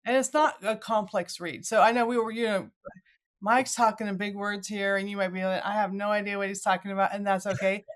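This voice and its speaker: A woman's voice: medium pitch, fairly even intonation, brisk. A middle-aged woman hosting a podcast in a casual, chatty way, informally acting out what people might say. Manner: chatty, informal, acts out imagined remarks. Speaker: podcast host. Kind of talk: casual chat.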